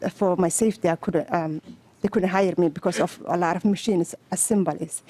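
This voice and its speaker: A woman from Somalia with a Somali accent, testifying at a legislative hearing in halting speech with many fillers and restarts. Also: fairly low pitch, mellow, no echo. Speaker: testifying adult student. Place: legislative committee hearing room. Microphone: table microphone.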